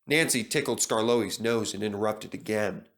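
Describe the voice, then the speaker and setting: A man's voice: lowish voice, normal speed, a bit bright. A man reading a scripted children's story as narrator.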